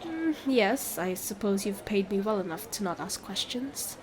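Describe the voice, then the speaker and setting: A woman's voice: medium pitch, expressive, unhurried, background noise, lightly acted. Woman playing a glib, smug fantasy merchant woman. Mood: brisk, sly. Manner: glib, theatrical sales patter. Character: smug, haggling female merchant selling a mermaid. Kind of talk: scripted fantasy audio roleplay.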